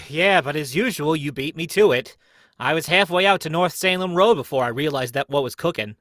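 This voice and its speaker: Casting: man as baffled, brusque inspector, bright forceful voice, lively swings, radio mystery. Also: a little high, brisk, dry recording, studio quality. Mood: resigned, brisk. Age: young adult. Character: Inspector Stevens, brusque, baffled American police detective. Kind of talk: live reading of old-time radio mystery script.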